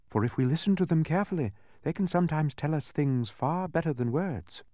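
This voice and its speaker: Man playing bossy storybook woman, high pitch, animated swoops, proud, impatient, imperious, dry sound. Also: brisk, no room sound, lightly acted. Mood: wistful. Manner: imperious, instructive. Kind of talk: storybook character voice.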